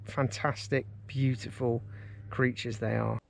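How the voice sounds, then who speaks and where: Gravelly-voiced man vlogging from a kayak. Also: medium pitch, measured pace. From England. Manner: enthusiastic, relaxed, with many fillers. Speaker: outdoors vlogger. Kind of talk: casual vlog narration. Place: out of doors on a river, in a kayak. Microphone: action camera mounted on the kayak.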